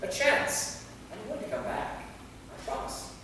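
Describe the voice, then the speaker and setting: A man's voice: high voice, huge pitch swings, a little slow, heavily acted. A man acting an anxious, earnest, centuries-old vampire knight in a live staged play reading. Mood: earnest, pleading. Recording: echoey hall from far off, faint noise.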